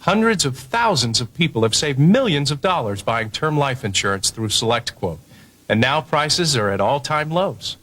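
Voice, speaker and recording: Animated male commercial announcer. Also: mid-range voice, moderate pace. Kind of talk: scripted ad read.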